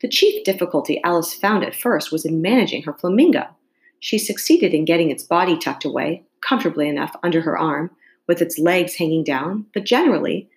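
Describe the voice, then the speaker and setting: A woman reading a classic children's story aloud as an expressive narrator. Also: lowish voice. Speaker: storybook reader.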